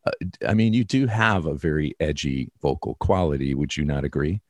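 Very deep-voiced edgy baritone man. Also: a little fast, no room sound, studio quality. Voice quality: strong baritone with an edge. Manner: reflective, compares his experience with the guest's. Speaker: podcast host, singer. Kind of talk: casual interview conversation.

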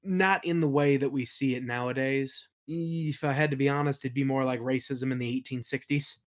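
A man's voice: mid-range voice, fairly even intonation, normal speed. A man answering as an interview guest in a casual conversational style, often hesitant as he thinks aloud. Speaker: interview guest, audio play creator.

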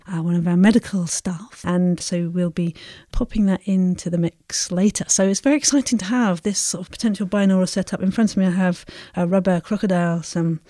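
A woman's voice: fairly low pitch, mellow, no echo. A woman who directed an audio drama, chatting playfully on a podcast. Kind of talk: casual podcast chat.

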